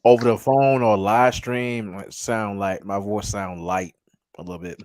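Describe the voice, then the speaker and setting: A man with a slightly raspy voice joining a casual livestream chat, making quick mocking remarks. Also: lowish voice, fairly even intonation, a little mellow, no echo. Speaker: stream guest.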